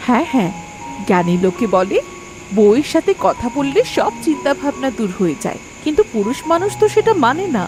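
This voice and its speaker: A high, swooping voice for a middle-aged village mother in a cartoon fairy tale. She is chatty, teasing and expressive, with light music behind her. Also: brisk. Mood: teasing, curious. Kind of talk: animated folktale dialogue acting.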